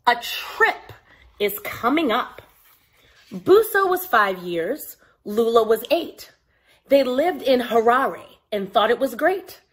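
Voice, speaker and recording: A woman hosting a story time for young children, speaking directly to them in simple, clear phrases as she talks and reads aloud. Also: on the high side, sing-song, takes it slowly. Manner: addresses listeners directly, simple explanations with check-in questions.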